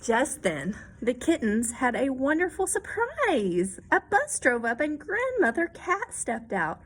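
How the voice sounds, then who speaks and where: A woman's voice: sits high, wildly swooping pitch, a little slow. A woman reading a children's story aloud expressively, with simple explanations and questions to her young listeners.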